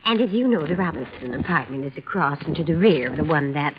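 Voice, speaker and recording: A woman's voice: sits low, expressive, quick pace. A fussy, prim lady witness. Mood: insistent. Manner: prim, emphatic, defensive. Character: fussy, insistent middle-aged woman witness. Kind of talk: 1950s radio drama acting. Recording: dull lo-fi old radio broadcast with hiss.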